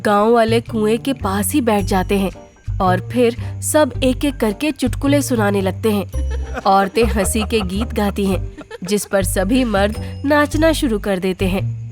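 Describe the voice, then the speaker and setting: A woman giving scripted narration for an animated story. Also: medium pitch, measured pace, soft background music.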